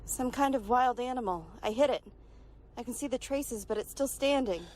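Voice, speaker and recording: A woman's voice: a little high, normal speed, darkish tone, close to an everyday voice. A woman playing a folksy, homesick spaceship crew member in a science fiction radio drama, speaking casually. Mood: startled, insistent.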